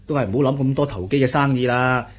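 Actor as a sly, husky Cantonese uncle. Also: male voice, mid-range voice, quick pace, natural voice. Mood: knowing, teasing. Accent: Hong Kong Cantonese. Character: sly, chuckling middle-aged adviser and scheming uncle. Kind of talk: radio drama acting.

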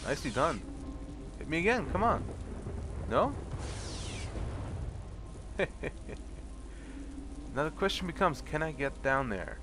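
A man's voice: on the high side, very animated, takes it slowly, long pauses. A young man with a stuffy cold, casually commenting on his gameplay, swearing and mocking enemies sarcastically. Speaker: let's play commentator. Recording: home recording with clear background noise.

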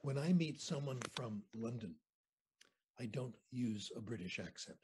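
An older American man, a guest on a video call interview, telling anecdotes in an animated, conversational way from a home room. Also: medium pitch, measured pace, husky. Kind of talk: storytelling lecture on a video call. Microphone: video call webcam.

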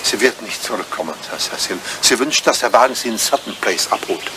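An older man acting a devoted, dignified butler in a radio play, speaking with formal deference and quiet sorrow. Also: fairly low pitch, animated, brisk, lightly acted. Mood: politely formal. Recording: noisy old radio play recording.

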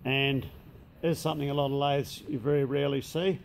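A man's voice: mid-range voice, steady tone, fairly quick, gravelly, very noisy. An Australian man who runs a machine shop, giving a casual, matter-of-fact walkthrough in a large indoor workshop shed. He speaks plainly, uses local slang, and is recorded on a handheld camera mic.

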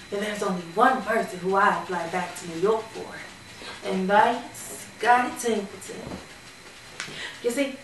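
A woman performing a stage monologue as a sassy, candid retired call girl who reminisces fondly with playful, dramatic pauses. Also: medium pitch, fairly bright tone, close to an everyday voice. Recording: echoey room, distant mic, background noise.

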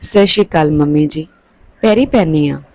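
A woman's voice: sits low, normal speed, a little mellow, low-quality recording. A woman voicing a respectful young married daughter-in-law, polite and deferential, in a story.

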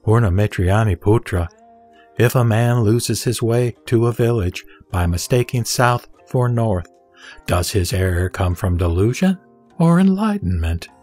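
A man voicing a calm, solemn male spiritual teacher in a scripture audio drama, measured and didactic. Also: lowish voice. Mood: solemn, questioning. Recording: background music under the voice.

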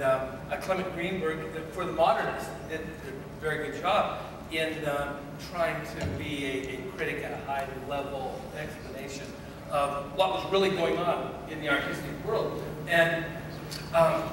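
An older man talks in halting bursts, pausing often to find words, his voice a little high. He sounds distant in a large hall with heavy echo and a constant hiss behind him. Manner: halting, many fillers and restarts. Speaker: panelist. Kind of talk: panel discussion. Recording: echoey hall heard from far off.

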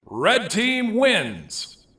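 A man playing a bombastic, hyped fighting-game announcer, delivering short, dramatic calls with theatrical, drawn-out emphasis. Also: sits high, wildly swooping pitch, sharp tone, reverberant, distant mic, full character voice.

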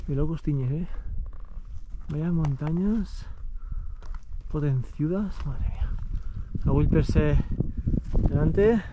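Higher-voiced Spanish man vlogging outdoors. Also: slow, long pauses, background noise. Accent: European Spanish. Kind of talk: casual outdoor vlog commentary. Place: outdoors on snowy mountain slopes.

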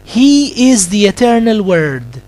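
A man, a Capuchin friar, delivers a Christmas homily and prayer in short deliberate phrases with long pauses. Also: high-pitched, sing-song, a little mellow, soft background music.

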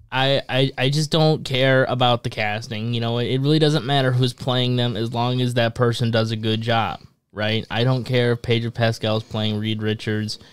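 A man's voice: mid-range voice, smooth, no echo. A young American man co-hosting a casual podcast, laid-back and matter-of-fact. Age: young adult. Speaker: podcast co-host. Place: indoor podcast studio. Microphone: close boom-arm podcast microphone with headset.

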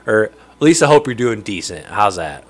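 A man's voice: fairly low pitch, very animated, normal speed. A man in his thirties with a nasal voice, getting over a cold, hosting a casual livestream and greeting viewers by name from his home fishroom. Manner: chatty, greets viewers by name, laughs often. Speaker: livestream host. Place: home fishroom with aquariums, indoors. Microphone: studio mic on stand close in front.

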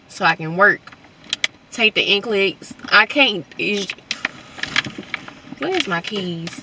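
A woman's voice: a little high, background noise. A young adult woman with a Southern US accent, talking casually and informally to viewers as a vlogger. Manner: informal, conversational, addressing viewers as y'all. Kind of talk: casual vlog chat to viewers.

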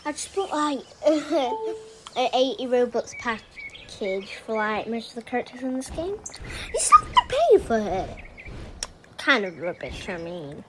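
A woman's voice: on the high side, huge pitch swings, measured pace, very noisy. A young woman giving casual, offhand commentary while playing a video game. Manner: chatty, offhand, wandering. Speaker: gamer.

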